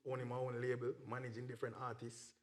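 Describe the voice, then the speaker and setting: This is a Jamaican man talking casually as a music artist being interviewed. His pitch sits in an ordinary middle range. He speaks in slangy, emphatic phrases and keeps dropping in "you know" as he makes his points. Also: monotone, quick pace, a little mellow.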